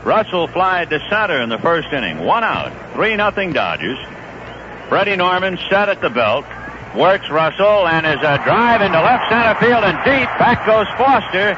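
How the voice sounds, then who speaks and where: 1970s radio baseball announcer, lively pitch. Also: male voice, normal speed. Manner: lively, conversational play-by-play with bursts of excitement. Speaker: play-by-play announcer. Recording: dull 1970s radio broadcast with hiss.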